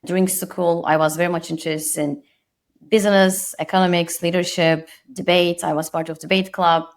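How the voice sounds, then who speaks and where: A woman's voice: lowish voice, little pitch movement, normal speed. A woman in her thirties from Turkey with a Turkish accent, a guest on a podcast interview, speaking reflectively and modestly with frequent restarts.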